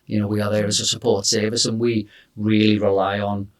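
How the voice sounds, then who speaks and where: A middle-aged man with an English accent and a lowish voice answers questions in an easygoing, thoughtful way. He fills his answers with gentle hedges as he explains his work. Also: flat delivery, brisk. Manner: thoughtful, hedging with "you know" and "I suppose". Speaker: careers consultant. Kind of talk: relaxed conversational interview.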